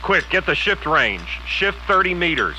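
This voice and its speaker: Man acting forceful, high-pitched artillery commander. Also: very animated, measured pace, slight background noise, heavily acted, poor audio. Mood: commanding. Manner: barking, clipped orders. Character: exasperated, commanding army artillery officer. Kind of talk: comedic retro radio drama acting.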